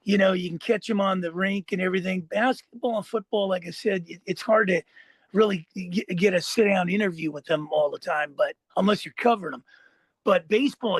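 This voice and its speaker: A man's voice: high-pitched, brisk, husky, dry recording. An American man hosting a casual interview, rambling and agreeable as he talks. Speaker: podcast host, interviewer.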